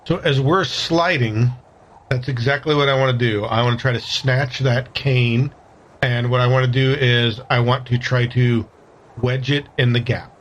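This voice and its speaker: A man's voice: medium pitch, steady tone, normal speed. A man plays a tabletop game, talking casually and working out his moves aloud in a tentative way. The recording is a little dull and muffled.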